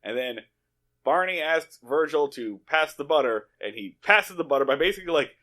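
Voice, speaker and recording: A man's voice: fairly high pitch, expressive, normal speed, sharp tone. A man co-hosting a podcast, giving a casual, comedic and profane recap of television, acting out lines as he goes. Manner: profane, informal, acts out quoted lines. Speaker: podcast co-host.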